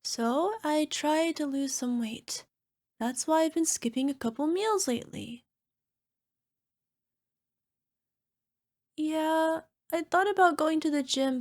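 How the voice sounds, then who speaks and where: A woman's voice: sits high, moderate pace, a little mellow, natural voice. A woman playing an insecure, self-conscious cyclops monster girlfriend in an ASMR roleplay, talking in a dramatic, playfully exasperated way. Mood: sheepish. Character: insecure chubby flying purple people eater girlfriend, a cyclops monster. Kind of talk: ASMR roleplay acting, conversational.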